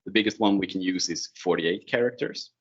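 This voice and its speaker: Guy presenting tech stuff on an online call, english not his first language, low voice, bit husky. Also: brisk. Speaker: presenter, IT security consultant. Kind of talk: informal technical presentation with slides. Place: online video call screen share. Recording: dull, lo-fi online meeting audio.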